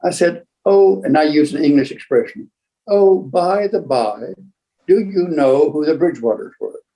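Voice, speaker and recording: Older guy, soft dark voice, rambling along like a storyteller, stops and starts a lot. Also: on the high side, animated, poor audio. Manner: rambling, halting raconteur who acts out remembered exchanges. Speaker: museum founder and book collector, guest storyteller. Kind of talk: casual anecdotal storytelling.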